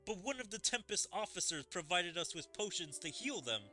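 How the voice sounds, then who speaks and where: A man's voice: high-pitched, lively intonation, brisk, bright, forceful tone, soft background music, heavily acted. A man voicing a loyal fantasy soldier, formal and urgent, reporting indignantly to his king in a game. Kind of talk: reading game dialogue aloud in character.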